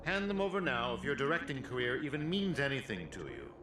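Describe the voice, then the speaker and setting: An actor playing a cold, condescending monkey professor villain, in a video game. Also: male voice, mid-range voice, big pitch swings, fairly quick, natural voice. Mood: threatening. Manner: condescending, menacing. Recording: roomy game audio with loud music.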